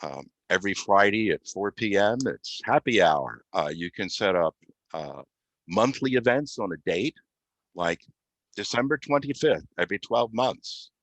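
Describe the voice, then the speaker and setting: A man giving an unscripted software demonstration as a guest, explaining step by step with halting, mid-phrase pauses. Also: on the low side, lively intonation, dry recording, rough recording. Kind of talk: unscripted software demo walkthrough.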